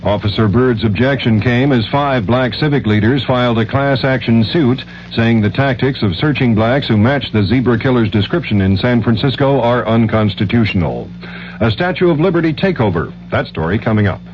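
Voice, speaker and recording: American male network news anchor, mid pitched, reading copy on dull old radio with faint hum. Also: expressive, normal speed. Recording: muffled, humming 1970s radio broadcast.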